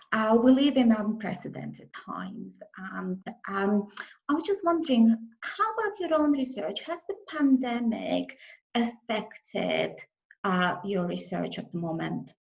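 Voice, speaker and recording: A woman's voice: medium pitch, creaky. A woman host interviewing a guest, asking polite, enthusiastic questions. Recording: dull lo-fi sound.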